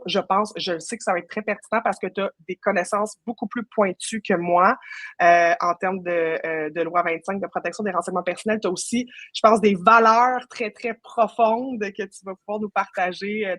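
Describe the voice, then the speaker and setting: A woman's voice: medium pitch, a bit bright, no room sound. A woman with a Quebec French accent hosting a casual live online session, chatty and informal, dropping in English words. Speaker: web marketing professional. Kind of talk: casual live webinar chat.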